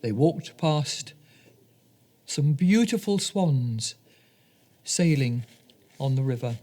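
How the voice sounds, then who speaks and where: Slow, deliberate woman storyteller. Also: extremely low pitch, animated, warm tone. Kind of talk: scripted children's story narration.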